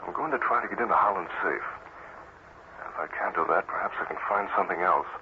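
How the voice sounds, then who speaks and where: A noisy, muffled vintage radio recording of a man acting a brooding young publisher. His low voice is clipped and thoughtful, with an air of authority. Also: fast, natural voice. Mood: determined. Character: earnest, brooding young male newspaper publisher, secret vigilante.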